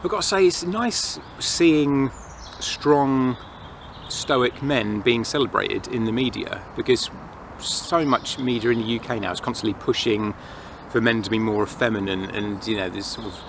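A man's voice: medium pitch, normal speed, vocal fry. A man in his thirties with an English accent, rambling casually and reflectively to camera with his opinions while outdoors in a forest. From England. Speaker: outdoor vlogger. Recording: noisy outdoor ambience, distant planes and traffic.

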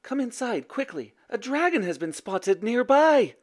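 A noble storybook mother, put on by a man, worried but resolute. The voice is lifted in pitch and urgent. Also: big pitch swings, unhurried, heavily acted.

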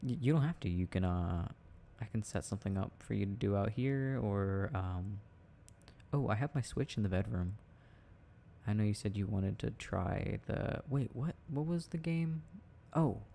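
A young man playing a sweet, shy, devoted boyfriend in an intimate ASMR roleplay, speaking softly and hesitantly, close to the mic. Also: lowish voice, creaky. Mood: playful, relaxed. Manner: soft, tender, hesitant, halting.

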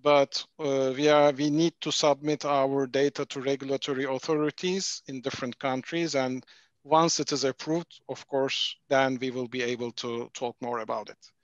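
Man from Turkey, executive presenter on a video call, measured and formal, muffled dry audio. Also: medium pitch, level tone, no room sound. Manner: measured, formal, with frequent "uh" pauses. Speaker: pharmaceutical executive, webinar speaker.

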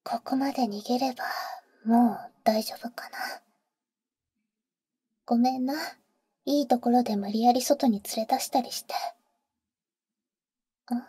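A woman playing a teasing, protective vampire big sister in an ASMR roleplay, speaking in an intimate, soft whisper close to the mic with cool, boyish phrasing. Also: on the high side, fairly even intonation, unhurried, pauses often, slightly rough, no echo. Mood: serious, explanatory. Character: teasing, protective female vampire, older-sister type to a boy. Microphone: close microphone, dry.

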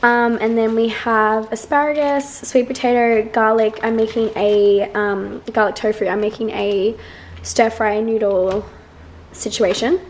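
A woman, hoarse from losing her voice, chatting casually to a camera held at arm's length. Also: a little high, level tone, unhurried. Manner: chatty, bubbly, rambling. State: hoarse, lost voice. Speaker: vlogger. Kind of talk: casual vlog chat. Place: car and busy restaurant. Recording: loud crowd and road noise.

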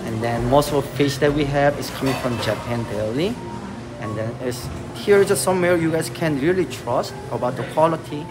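Halting man presenting dishes over loud music. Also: medium pitch. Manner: halting, hesitant phrasing. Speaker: restaurant owner presenting dishes. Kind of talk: informal explanation to guests.

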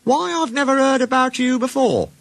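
A man's voice: very high voice, moderate pace, gravelly, a bit bright, dry recording, pitched above the performer's own voice, full character voice. A man voicing a curious, polite and eager steam engine in a children's storybook, with an inquisitive, earnest manner.

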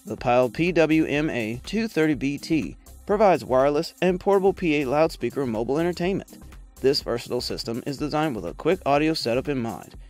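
A man's voice: on the high side, expressive, fairly quick, darkish tone. A man reading a scripted product review voice-over in a polished, promotional manner. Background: background music.

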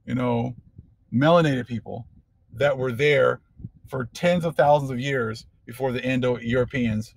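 A man with a gravelly, husky voice talks through scripture in an informal preaching style. He checks in with his listeners often and stays loose and conversational. The sound is dry and close, with no room echo. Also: mid-range voice. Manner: conversational preaching with frequent "okay?" and "you know". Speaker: religious teacher. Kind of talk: informal scripture teaching over a book page.

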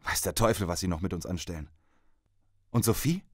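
An actor playing a grim, disillusioned soldier and survivor in an audio drama, brooding and serious. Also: male voice, sits low, expressive, a little fast, no echo, studio quality. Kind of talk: audio drama narration and dialogue.

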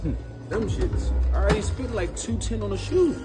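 A young man with a fairly high voice talks in casual, slangy bursts and swears freely as he complains. It is a phone recording in a mall store, with music playing clearly behind him. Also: big pitch swings, moderate pace. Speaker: vlogger shopping. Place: busy sneaker store in a mall.

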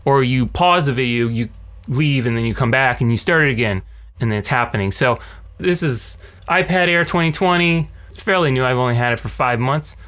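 A man in his thirties talking casually to camera as a tech video host, with a sardonic, animated ranting manner. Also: mid-range voice, a little fast. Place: small cluttered home room. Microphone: large condenser mic on a stand beside him.

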